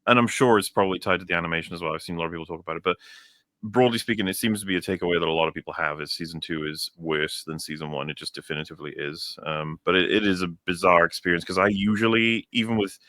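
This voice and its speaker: A man with a deep voice and a bright, forceful edge chats casually as a podcast co-host. He rambles and restarts his phrases often, recorded very dry. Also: fairly even intonation, fast, dry recording. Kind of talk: casual chat.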